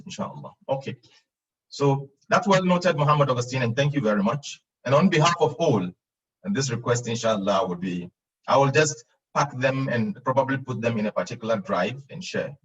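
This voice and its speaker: Arabic grammar teacher lecturing online, slightly distant. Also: male voice, mid-range voice, a bit bright, muffled recording. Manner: explains patiently with frequent repeats and fillers, laughs at own jokes; acts out students' questions in a raised voice.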